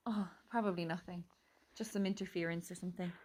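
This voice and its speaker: An actress with an Irish accent playing a teasing, sweary, reassuring friend in a naturalistic radio drama. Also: female voice, fairly low pitch, steady tone, quick pace, darkish tone, natural voice.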